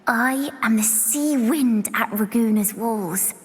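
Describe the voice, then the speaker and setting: A woman voicing a gentle, wistful young woman in a video game, with a lyrical and deliberate delivery. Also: a little high. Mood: reverent. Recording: long reverb, music behind.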